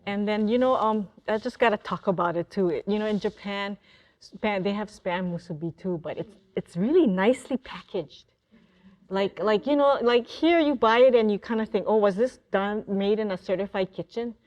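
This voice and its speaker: A middle-aged woman, an author, leading a relaxed talk story session in a library classroom, chatty and quick to laugh. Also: mid-range voice. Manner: chatty, laughs often, restarts sentences. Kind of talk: casual group discussion.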